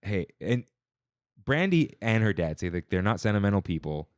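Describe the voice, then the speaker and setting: A young man with a low voice whose pitch swings widely as he acts out the people in his stories, speaking casually. He grew up with Armenian as his first language. The sound is dry and close. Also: normal speed, no room sound. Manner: animated storyteller, quotes people in voices. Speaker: podcast co-host, wedding officiant. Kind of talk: casual chat.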